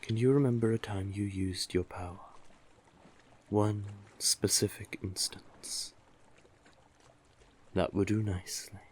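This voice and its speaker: A man acting an older male sea witch, very slow delivery, deep voice, doting, coaxing, intimate fantasy audio drama. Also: a little mellow. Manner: soothing, coaxing, doting, deliberate. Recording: clearly noisy, sea-cave ambience effects.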